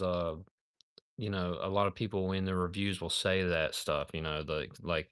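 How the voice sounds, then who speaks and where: A man's voice: low-pitched, smooth, no echo, studio quality. A man in his thirties chatting casually on a live stream while he builds a model kit, laid-back and rambling, calling viewers dude and man. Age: 30s.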